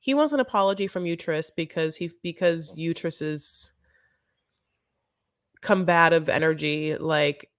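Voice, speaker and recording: A woman's voice: deep voice, unhurried, no echo, studio quality. A woman hosting a casual live recap chat, rambling and campy with lots of asides. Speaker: show recap host.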